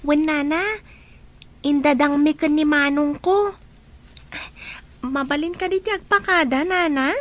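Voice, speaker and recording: A woman's voice: sits high, steady tone, unhurried, long pauses, fairly bright tone. A woman playing an affectionate, eager young girl in a radio drama, with an Ilocano accent.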